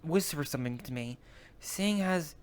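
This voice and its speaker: A man improvising in character in an online role-play game, speaking casually and haltingly. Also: a little high, lively intonation, slight background noise. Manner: hesitant, halting.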